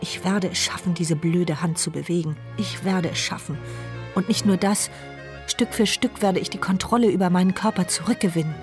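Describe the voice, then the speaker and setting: A woman's voice: fairly low pitch, normal speed, over music, close to an everyday voice. An actress playing a mute, paralysed woman who narrates her anxious thoughts in an intimate, intense radio drama monologue. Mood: determined.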